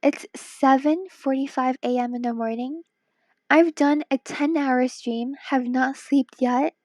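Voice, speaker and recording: Tired, high-voiced woman streamer, slow talk. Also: steady tone, a little mellow, no room sound. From Hong Kong. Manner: playful and teasing, slipping into whispers. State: tired, voice worn from a ten-hour stream. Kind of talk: casual live-stream chat while drawing.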